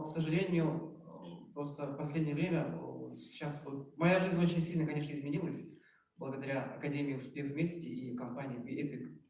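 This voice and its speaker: A man in his forties giving an informal promotional talk as a doctor, speaking in a halting, emphatic and self-ironic way, recorded from a distance in a room. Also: on the high side, dark tone. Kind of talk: informal public testimonial talk. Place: echoey indoor presentation room. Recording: very echoey room, far microphone, noisy.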